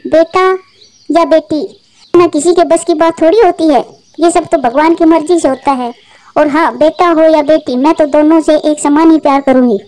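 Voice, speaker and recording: A woman playing a gentle, earnest young mother bird in a Hindi cartoon. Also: high-pitched, steady tone, moderate pace, close to an everyday voice. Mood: pleading.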